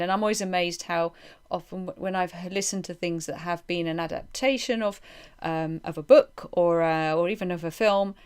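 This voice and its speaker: Clear-voiced woman hosting. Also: mid-range voice. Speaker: podcast host. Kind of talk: casual conversational interviewing.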